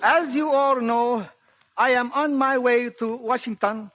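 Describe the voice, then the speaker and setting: An actor playing a ceremonious Japanese diplomat, speaking formally and solemnly in a 1940s radio drama. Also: male voice, very high-pitched, fairly even intonation, measured pace, fairly bright tone. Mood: solemn, courtly. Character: smooth, ceremonious Japanese diplomat envoy proclaiming peace. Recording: muffled 1940s radio broadcast recording.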